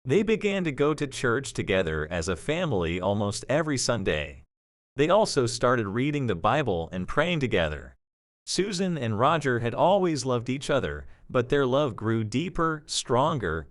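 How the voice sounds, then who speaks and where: A man's voice: mid-range voice, dry recording, clean studio sound. A man narrating a scripted story as the narrator of an audio drama.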